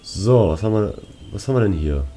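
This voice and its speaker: A man's voice: on the low side, expressive, a little fast, warm tone, a little hiss or hum. A young man giving casual gameplay commentary, slangy and muttering to himself. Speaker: let's player.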